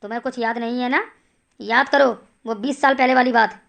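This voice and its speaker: A woman voicing a calm, fatherly male holy man who speaks solemnly in an animated horror story. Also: a little high, brisk, creaky.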